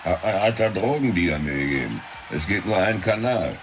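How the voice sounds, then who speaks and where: A man's voice: low-pitched, very animated, fairly quick. An actor playing a laconic, streetwise man in casual radio crime drama dialogue. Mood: dry, casual. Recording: sirens and street noise behind. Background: sirens, city ambience.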